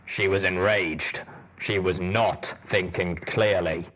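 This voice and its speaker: A man voicing a huge, stern armored ranger pony in an audiobook, with a rumbling voice and a blunt, deliberate manner. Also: steady tone, fairly bright tone, exaggerated voice. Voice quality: deep, rumbling.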